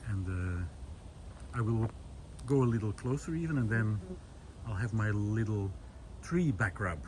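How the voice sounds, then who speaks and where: Low-voiced man musing outdoors, halting delivery. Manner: halting, pausing mid-sentence between short phrases. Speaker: vlogger. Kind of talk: unscripted reflective walking talk to camera. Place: outdoors in a wooded park. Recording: clear outdoor background noise.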